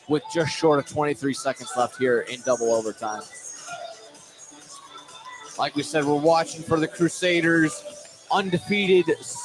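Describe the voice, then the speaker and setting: A man calling a live high school basketball game on radio, excitable and correcting himself as he goes. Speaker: play-by-play basketball commentator. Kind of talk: live sports radio play-by-play.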